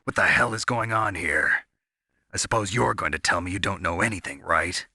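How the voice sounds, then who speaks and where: An actor playing a gruff, sardonic special-ops soldier in a video game, terse and world-weary with a gravelly, husky voice. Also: male voice, sits low, moderate pace, bright, forceful tone, dry recording, studio quality, heavily acted. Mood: exasperated.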